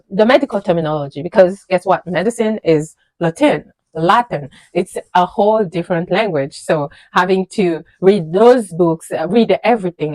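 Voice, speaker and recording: A woman in her thirties whose first language is French, working as a registered nurse and telling her journey in a casual vlog, animated and emphatic, with many fillers and repeated words. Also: lowish voice, no echo, rough recording. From French-speaking country, immigrated to the USA. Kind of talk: casual personal storytelling to camera.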